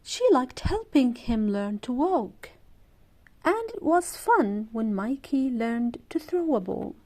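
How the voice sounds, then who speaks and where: A woman reading a children's story aloud in an expressive, deliberate way for young listeners. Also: fairly high pitch. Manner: animated character voices, deliberate phrasing.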